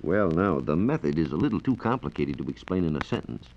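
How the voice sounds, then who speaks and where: A man explaining science in a measured, precise way as a reporter on a 1940s radio program. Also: a bass voice, animated. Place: radio studio. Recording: dull, noisy 1940s radio recording.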